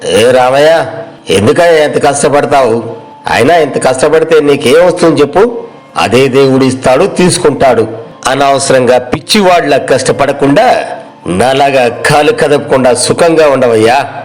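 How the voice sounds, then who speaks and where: A man's voice: medium pitch, normal speed, close to an everyday voice, low-quality recording. A man playing a mocking, idle rich village farmer in a moral story, talking in colloquial rural speech.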